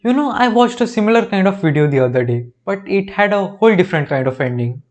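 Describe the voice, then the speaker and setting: A man's voice: high voice, animated, fast, muffled recording, faint music behind. A young man with an Indian accent, recapping a TV show with sarcastic, jokey asides. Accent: Indian English. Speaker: reaction video host. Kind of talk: comedic recap narration.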